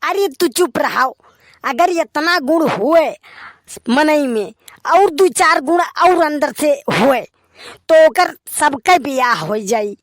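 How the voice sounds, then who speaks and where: Someone voicing a preachy grumbly young village guy, awadhi cartoon, really high voice, slow n dry sound. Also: expressive, a bit bright, no echo, heavily acted. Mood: preachy, cocky. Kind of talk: Awadhi comedy cartoon dialogue.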